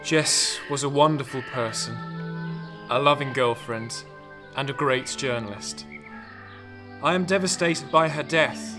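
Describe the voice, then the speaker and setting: A male actor plays a bereaved, resolute boyfriend in a radio drama. He speaks very slowly and solemnly in a higher voice, with prominent music behind him. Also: close to an everyday voice. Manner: solemn, deliberate eulogy. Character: grieving, vengeful boyfriend vowing justice.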